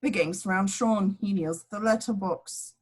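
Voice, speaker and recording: Woman reading stage directions, medium pitch, amateur script read narration. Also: moderate pace, husky.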